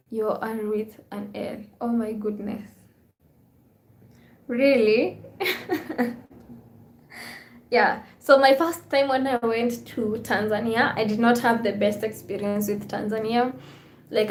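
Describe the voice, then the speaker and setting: A woman's voice: on the high side, reverberant. A woman hosting a live vlog stream, chatting casually with viewers, reading their comments and thanking them often.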